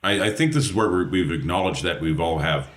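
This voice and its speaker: Man, fairly low pitch, echoey room, casual tabletop player chat. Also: fairly quick.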